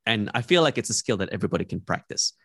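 A young man from Malaysia, a podcast guest giving thoughtful, measured answers with frequent restarts. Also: on the low side, animated, a bit bright, no room sound, studio quality. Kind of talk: reflective interview answers.

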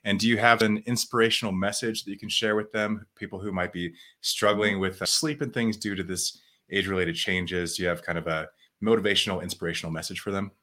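Man, podcast host, sleep coach, calm and gentle, lowish voice, bright tone. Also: quick pace, few pauses. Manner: calm, gentle, easygoing questions. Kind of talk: interview hosting.

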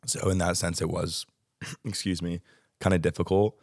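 A man in his twenties with a Texan American accent, talking casually as a guest about student life, relaxed and rambling with lots of "like" and "um". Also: deep voice, normal speed, dry recording, clean studio sound. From United States. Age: 20s. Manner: relaxed, rambling with many likes and ums. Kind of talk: casual interview chat.

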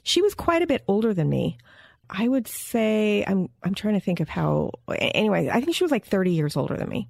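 A woman hosting an interview in a casual, chatty and expressive way, with an informal storytelling style. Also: mid-range voice, brisk, vocal fry, no room sound, studio quality. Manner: informal, expressive, acts out quoted dialogue. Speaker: interview host. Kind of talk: casual chat, animated anecdote telling.

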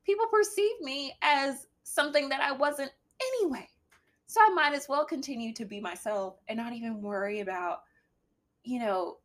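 Candid woman with a slightly deep voice. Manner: candid, dry humor, mimics a bright work voice. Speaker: guest. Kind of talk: casual chat.

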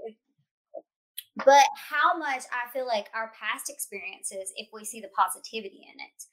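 Woman host, fairly high bright voice, reflective, encouraging questions. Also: moderate pace, low-quality recording. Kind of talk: casual interview conversation.

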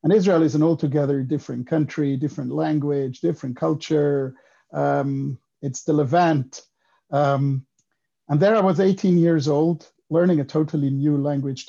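Swiss guy in his 50s on a podcast, kinda gravelly, mellow dark tone, thinks out loud slowly. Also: on the high side, lo-fi sound. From Switzerland. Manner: reflective, unhurried storytelling. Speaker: podcast guest, economist. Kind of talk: casual interview talk.

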